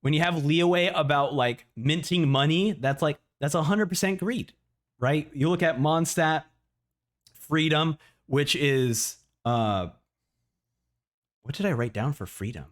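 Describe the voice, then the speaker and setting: A man in a casual livestream reaction, talking excitably and often repeating words as he thinks out loud. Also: a little high, unhurried. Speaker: streamer reacting to a video.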